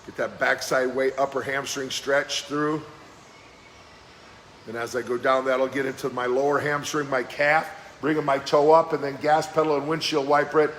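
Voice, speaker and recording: A man leading a live-streamed workout as fitness coach, giving brisk, encouraging exercise cues in a slightly hoarse voice that keeps cracking. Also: medium pitch, little pitch movement, a bit bright. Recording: echoey room with faint background noise.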